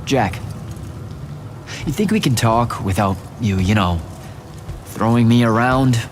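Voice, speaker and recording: Wry young mage, mid-pitched. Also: male voice, lively intonation, takes it slowly, background noise. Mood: wary, dry. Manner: dry, hesitant, conversational. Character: wry young male battle mage, casual, awkward hero. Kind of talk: naturalistic video game cutscene acting.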